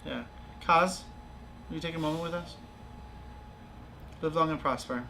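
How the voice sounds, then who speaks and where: A high-pitched man hosts a relaxed crafting stream. His voice is bright and forceful, and he talks in a playful, informal way over some background noise. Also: a little slow, vocal fry. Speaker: livestream host. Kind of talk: casual chat while doing crafts.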